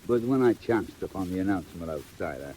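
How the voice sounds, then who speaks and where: Elderly British villain: low, wheedling, falsely polite, hesitant; vintage radio-drama style with some surface noise. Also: male voice, expressive, a little fast, warm tone. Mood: ingratiating. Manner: oily, hesitant, chuckling, mock-courteous. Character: sly, wheedling old male crook running a boy gang. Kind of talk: 1940s dramatised audio acting. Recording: noisy vintage 1940s record.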